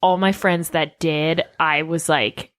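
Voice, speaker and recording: A woman co-hosting a podcast in casual chat, expressive and sometimes dropping to a whisper. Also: sits low, slow. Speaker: podcast co-host.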